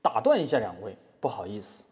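A man's voice: fairly low pitch, sing-song, fairly quick, a little mellow. A man playing an earnest, self-excusing businessman with a split personality, apologising as a defendant in a satirical courtroom audio drama. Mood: self-important, explaining. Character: male defendant, self-styled mainland tycoon with split personality. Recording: reverberant hall sound.

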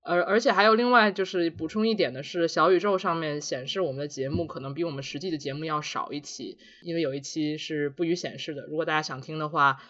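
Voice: medium pitch, a bit bright, highs cut off. A podcast co-host chatting casually in a reflective, self-deprecating way. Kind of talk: casual two-person podcast chat.

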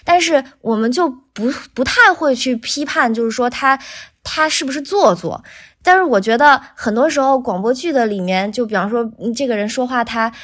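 A woman with a thin, bright, lively voice, talking casually as a voice actor guest on a podcast, explaining things in an animated way. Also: a little high, normal speed.

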